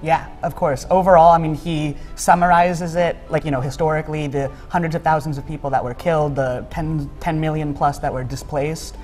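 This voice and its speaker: Young american guy on a tv interview, slightly high voice, chill, lots of you know, faint music behind. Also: a little fast, hardly any pauses. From United States. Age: young adult. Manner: relaxed, conversational, with fillers like "you know". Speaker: guest. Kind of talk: casual interview talk.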